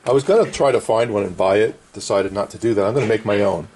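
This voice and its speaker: A man's voice: lowish voice, fast, darkish tone, husky. A middle-aged man casually explaining a hands-on repair at an indoor workbench in short, plain phrases. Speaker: vintage radio restorer and presenter.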